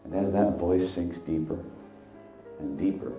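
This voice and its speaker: A man leading a guided hypnosis, speaking deliberately in short, halting phrases with hypnotic repetition. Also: deep voice. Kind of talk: guided hypnosis, slow meditative narration. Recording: echoey, dull sound with music behind. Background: background music.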